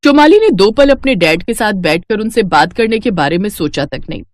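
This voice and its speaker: Expressive, animated female storyteller. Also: mid-range voice, normal speed, dry recording, studio quality. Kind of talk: scripted story narration.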